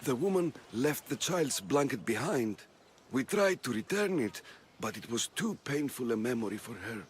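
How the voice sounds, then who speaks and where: Nervous male healer priest played by an actor, animated voice. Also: mid-range voice, normal speed, slight background noise, natural voice. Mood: grave, confiding. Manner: hesitant, deferential. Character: timid, nervous, kindly male healer priest in ancient Greece. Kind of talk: dramatic video game acting.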